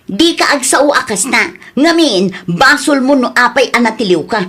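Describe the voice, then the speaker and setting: A woman's voice: a little high, expressive, moderate pace, a bit bright, slightly rough. A woman acting an exasperated, scolding wife in an audio drama, with a nagging, questioning manner. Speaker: drama actor.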